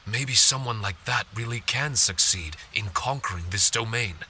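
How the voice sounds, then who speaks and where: A man playing a tiny living paper spirit, earnest, formal and self-blaming, in an animated video game. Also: mid-range voice, moderate pace, a little hiss or hum. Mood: thoughtful.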